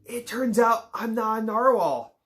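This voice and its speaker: A man's voice: very high-pitched, a little slow, fairly bright tone, echoey room, recorded from a distance, voice pushed up. A man voicing Kelp, a shy, hopeful young male narwhal who turns out to be a unicorn, in a raised, childlike storybook voice. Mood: earnest.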